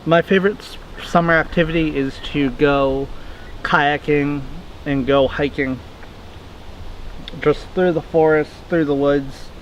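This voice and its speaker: A man's voice: on the high side, fairly even intonation, a little slow, a little hiss or hum, low-quality recording. Rambling young man in the woods. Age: 20s. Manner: rambling, self-correcting, chatty. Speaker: vlogger answering viewer questions. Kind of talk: casual Q&A vlog. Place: outdoors in a wooded backyard.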